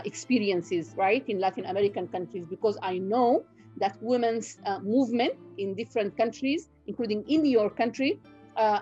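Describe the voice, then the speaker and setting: A middle-aged woman from Sudan, a native Nubian speaker, lectures as a professor over a video call from home. Her voice is mellow and relaxed, and she talks in a measured way, pausing on fillers and restarting phrases. The audio is dull, with faint music behind her. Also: mid-range voice. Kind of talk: online guest lecture. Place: home room.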